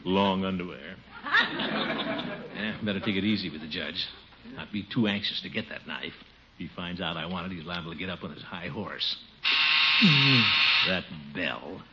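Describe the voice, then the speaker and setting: An actor playing a pompous, easily flustered middle-aged uncle in a 1950s radio comedy, blustering and muttering asides. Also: male voice, low voice, moderate pace, pauses often, natural voice. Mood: muttering, scheming. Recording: muffled, noisy old radio recording.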